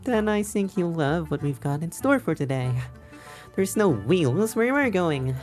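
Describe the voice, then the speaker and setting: Lively, teasing young love interest. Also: female voice, lowish voice, a little slow, faint music behind. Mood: playful, teasing. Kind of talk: visual novel game acting.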